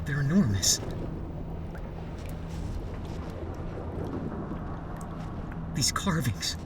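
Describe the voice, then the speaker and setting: An actor playing a man trapped in a diving suit on the sea floor, talking himself through his fear. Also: full character voice. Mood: awed. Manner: breathless, talking to himself, rising into screams. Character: male deep-sea diver trapped, panicking. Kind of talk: amateur radio play acting. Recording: distant mic with steady underwater rumble.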